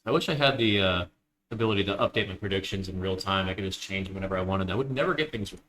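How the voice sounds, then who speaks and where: Young guy calling a game live, cracks bad jokes, bright voice, bit of hiss behind. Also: sits low, rough recording. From Illinois, United States. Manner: joking, self-deprecating, quick asides. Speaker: esports commentator.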